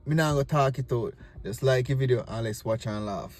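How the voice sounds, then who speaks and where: A man's voice: medium pitch, brisk, very noisy. A man with a Jamaican accent, casually hosting and giving comic commentary in Patois. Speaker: host introducing and commenting on stage play clips.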